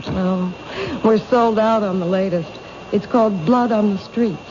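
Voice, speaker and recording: An actress playing a polite, reserved bookshop owner in a 1970s radio drama, courteous and restrained. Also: female voice, mid-range voice, a little slow, darkish tone, close to an everyday voice. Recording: dull old radio recording, light noise.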